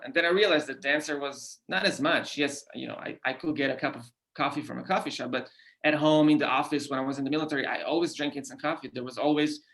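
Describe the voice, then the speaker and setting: This is a man with an Israeli accent, speaking as a guest on a podcast. He explains things steadily, in a gravelly voice at middle pitch, pausing often on "uh" and repeating words. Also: fairly bright tone, rough recording. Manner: halting, frequent "uh" fillers and repeated words. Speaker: guest founder. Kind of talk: podcast interview.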